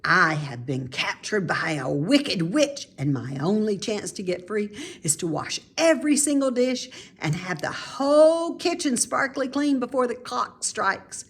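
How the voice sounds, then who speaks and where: A woman voicing a cheerful, playful grown woman from a storybook, with animated, emphatic delivery that savours the words. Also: medium pitch, unhurried, slightly rough, full character voice. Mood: dramatic make-believe. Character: cheerful, playful grown woman who delights in housework make-believe.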